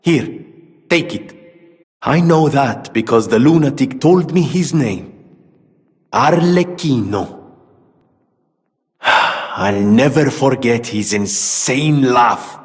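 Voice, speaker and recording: A male actor playing an eccentric, haunted gentleman inventor in a video game, speaking in a theatrical, eloquent and earnest way. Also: mid-range voice, expressive, slow pace, long pauses, in a large hall. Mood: haunted grief. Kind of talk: dramatic video game character dialogue.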